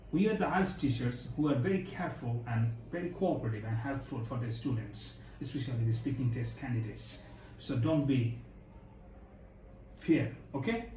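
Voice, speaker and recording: A man takes the examiner's role and lays out each part with slow, repetitive instructions. His voice sits mellow and low in brightness. The room gives it a clear echo, and faint ambient noise runs underneath. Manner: slow, instructive, repeating phrases. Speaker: IELTS examiner, teacher. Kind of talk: mock speaking test. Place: exam room indoors.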